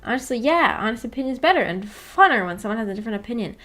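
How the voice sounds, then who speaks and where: A woman's voice: on the high side, animated, rapid-fire, fairly bright tone, soft background music. A woman streamer chatting casually with her viewers in a giggly, playfully teasing and slangy way. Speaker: streamer reading and answering viewer chat. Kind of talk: casual livestream chat.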